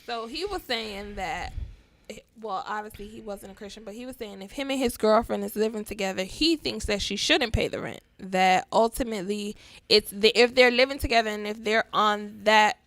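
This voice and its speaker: Animated woman co-host explaining her view. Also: medium pitch, normal speed, dry recording. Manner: explains at length, animated, acts out quotes. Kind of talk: casual panel chat.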